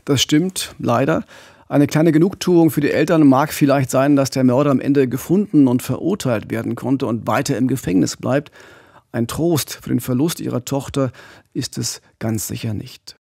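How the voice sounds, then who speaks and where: Male true-crime co-host, forties, husky and creaky, dry studio sound. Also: medium pitch, moderate pace, mellow, dry recording. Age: 40s. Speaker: podcast co-host. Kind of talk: true-crime podcast narration. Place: small radio studio. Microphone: close studio microphone with pop filter.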